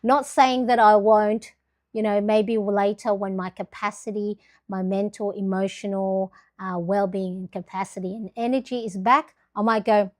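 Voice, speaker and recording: A woman's voice: medium pitch, steady tone, moderate pace, darkish tone. A middle-aged woman from the Philippines chatting casually on a livestream, rambling with lots of fillers and restarts. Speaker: planner livestream host.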